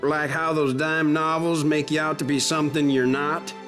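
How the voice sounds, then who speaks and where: An actor playing a skeptical saloon patron in a Western game, challenging and sarcastic. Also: male voice, fairly high pitch, level tone, normal speed, fairly bright tone, soft background music, lightly acted.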